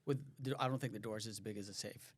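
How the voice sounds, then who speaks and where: A man co-hosting a podcast, asking the guest long, searching questions in a relaxed way. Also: fairly low pitch. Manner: asks drawn-out, searching questions. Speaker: podcast co-host. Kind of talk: casual podcast chat.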